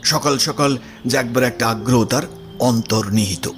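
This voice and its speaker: A man's voice: mid-range voice, normal speed, slight background noise. A man reading literary prose as the narrator of an audio story. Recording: music under the voice. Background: background music.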